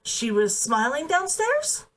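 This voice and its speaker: Woman reading as a courteous, guarded adventurer man, lively intonation. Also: high voice, takes it slowly, pitched above the performer's own voice, full character voice. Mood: surprised. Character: smitten male armed adventurer, courteous and guarded. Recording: voice distant from the mic.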